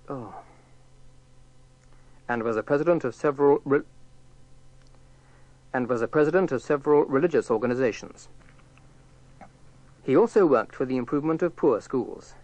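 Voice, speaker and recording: A man's voice: medium pitch, a little mellow, no room sound, dull audio. Man stumbling through a script, dry sound. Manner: halting, repeatedly stumbling over script.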